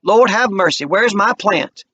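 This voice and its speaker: A man's voice: high voice, expressive, unhurried, sharp tone, husky, dull audio, voice pushed up, heavily acted. A man reading aloud and voicing an older mother of a family who gives folksy, scolding orders to everyone. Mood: bustling. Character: older woman, family matriarch, bossy and warm.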